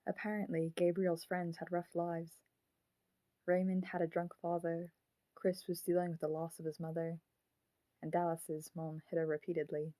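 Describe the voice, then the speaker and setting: A woman voicing the grown-up ghost of a murdered child in a puppet, speaking haltingly and solemnly in an audio drama. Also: fairly low pitch, level tone, warm tone, no echo, lightly acted. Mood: subdued, reflective.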